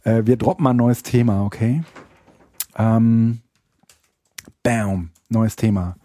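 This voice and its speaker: A man's voice: fairly low pitch, fairly even intonation, slow pace, mellow, no echo, studio quality. A man co-hosting a podcast in casual chat, hesitant and rambling, full of fillers and restarts. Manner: rambling, thinks aloud. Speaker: podcast co-host.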